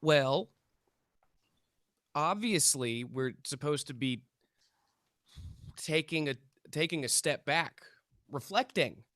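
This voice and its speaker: A man's voice: on the high side, expressive, takes it slowly, long pauses, a bit bright, dry recording. A man playing an intense, earnest young amateur paranormal investigator in a script read, self-important and conspiratorial. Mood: insistent, solemn. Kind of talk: table read of a TV pilot script.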